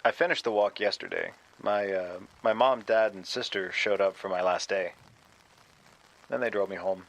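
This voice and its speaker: A man playing an awkward, apologetic young friend on the phone in an audio drama, speaking in a halting, hesitant way. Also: fairly low pitch, fairly bright tone, low-quality recording. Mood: awkward, casual.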